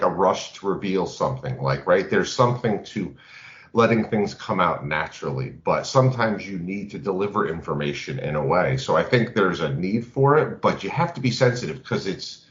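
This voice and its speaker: A man co-hosting a gaming podcast, casually explaining his thinking in a measured, conversational way. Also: sits low. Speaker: podcast co-host. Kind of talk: casual round-table chat about games. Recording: roomy echo, dull lo-fi audio.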